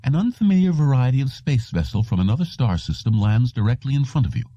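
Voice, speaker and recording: A man's voice: mid-range voice, expressive, warm tone, dry recording, voice pushed up, lightly acted. A man voicing a stern, formal, patient elderly psychiatrist in an audiobook. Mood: measured, grave. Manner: formal, wordy, deliberate. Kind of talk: audiobook character reading. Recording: dull, muffled lo-fi audio.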